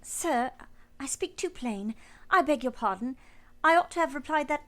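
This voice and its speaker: An actress playing a grave, reserved, plain-spoken young governess in a radio play, earnest and polite. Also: female voice, sits high, lively intonation, moderate pace. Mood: apologetic, composed. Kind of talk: radio play acting and first-person narration.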